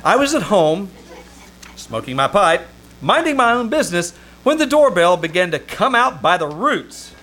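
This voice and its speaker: Old radio comedy lead, a male actor: high-pitched, excitable, sardonic, big swings in intonation. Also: normal speed, slight background noise, exaggerated voice. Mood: wry narration. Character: exasperated, wisecracking man pestered by a melodramatic actress.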